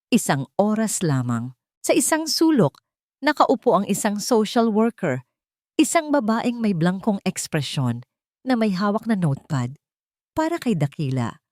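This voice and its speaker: A woman's voice: fairly low pitch, a little mellow, dry recording, studio quality. A woman with a Filipino accent reading a scripted, dramatic story as narrator.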